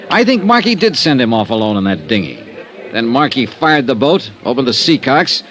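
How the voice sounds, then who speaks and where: An actor playing a dogged insurance investigator in an old-time radio drama, American, assertive and wry. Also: male voice, mid-range voice, a bit bright. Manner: assertive, wry, hard-boiled. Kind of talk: old-time radio detective acting and first-person narration.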